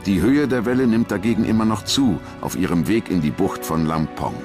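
Deep male documentary narrator over music. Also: fairly quick.

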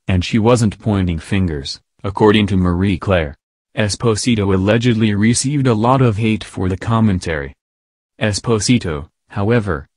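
A man narrating a scripted celebrity gossip piece in a steady, even read. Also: lowish voice, no room sound.

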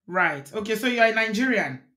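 A woman's voice: medium pitch, animated, fairly quick, bright, forceful tone. A woman in her thirties hosting a live call-in chat, questioning callers and bringing them in. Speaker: host.

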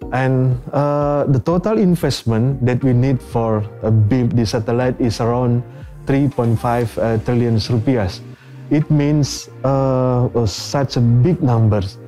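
A man in his fifties with an Indonesian accent, a company executive answering interview questions in halting English full of "uh" fillers and restarts. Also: medium pitch, dark tone. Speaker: company president director, guest. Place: bright office studio set.

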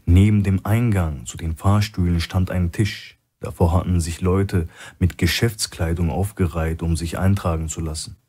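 A man with a husky voice reading scripted thriller narration as an audiobook narrator. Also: low-pitched, fairly even intonation, normal speed, hardly any pauses, warm tone.

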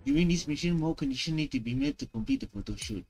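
A man's voice: fairly high pitch, wildly swooping pitch, normal speed, creaky, a little mellow, soft background music. A man streaming a game, reacting casually and reading on-screen text aloud. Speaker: gaming streamer. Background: game audio.

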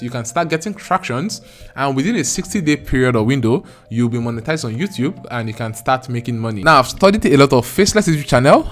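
A young man with a Nigerian accent, explaining step by step in an earnest, emphatic vlog to camera. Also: medium pitch. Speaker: YouTube creator. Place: small home studio room. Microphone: large studio mic close in front.

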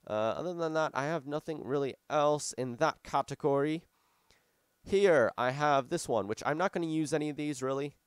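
A hoarse young man who is losing his voice, giving rambling, casual commentary over a farming game at his desk microphone, with lots of fillers and restarts. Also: fairly high pitch, moderate pace, no echo, studio quality. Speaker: gaming YouTuber. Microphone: desktop microphone.

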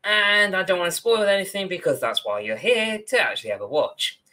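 A man's voice: sits high, lively intonation, a little fast, bright, forceful tone. A young man, co-hosting a podcast in casual discussion over a video call. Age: young adult. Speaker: podcast co-host. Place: indoor room on a video call.

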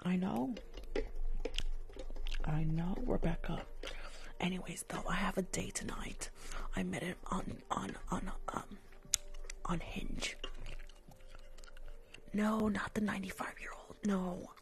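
A woman's voice: fairly low pitch, steady tone, takes it slowly, vocal fry, pauses often. A woman whispering an ASMR roleplay as a rude young nail technician who gossips on the phone mid-job and pops her gum. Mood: catty. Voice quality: soft whisper. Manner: catty, dismissive, chatters on the phone mid-job.

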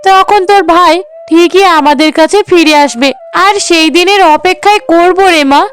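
Woman doing a shrill-pitched, bitter older mother. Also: moderate pace. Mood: bitter scorn. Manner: aggrieved, scornful complaining. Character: bitter, disapproving older mother of a grown son. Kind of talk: melodramatic cartoon acting.

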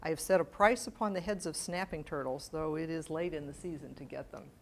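An older woman giving a public lecture, reading letters aloud in a measured, precise way and adding informal asides. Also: low-pitched, a little hiss or hum. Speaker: guest lecturer. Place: medium-sized library meeting room with seated audience. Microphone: lapel mic.

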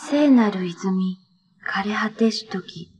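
An actress playing a solemn woman reciting an ancient prophecy in a measured, dramatic TV drama style. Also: medium pitch, darkish tone, breathy edge. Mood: solemn, ominous.

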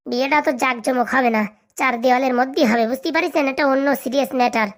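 Cartoon comedy: actress as scheming, mouthy village lad, high voice, breakneck sarcastic delivery. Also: female voice, close to an everyday voice. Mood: persuasive, bossy. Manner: sarcastic, blunt, quick-tongued. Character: cheeky, sarcastic young village man, scheming and bossy.

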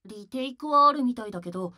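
A man's voice: very high-pitched, expressive, quick pace, pushed and bright, no echo, clean studio sound, heavily acted. A man playing a sweet, cutesy, girlish young male idol in a voice drama, bubbly in manner. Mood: happy. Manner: cute, bubbly, refers to self by name. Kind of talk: Japanese voice drama acting.

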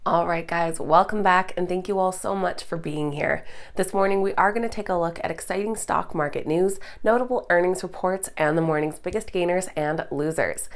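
A woman in her thirties, slightly stuffed up from a cold, reading a brisk, upbeat scripted morning market update as host in a studio. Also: lowish voice. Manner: brisk, upbeat presenter delivery. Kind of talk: scripted market news read. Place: studio, green screen backdrop.

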